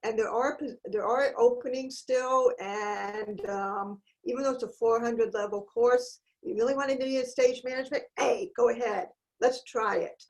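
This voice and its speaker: Woman, theatre faculty, slightly high voice, halting speech, video call audio. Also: fairly even intonation, a little mellow, poor audio. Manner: halting, many fillers. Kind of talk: casual video call introduction.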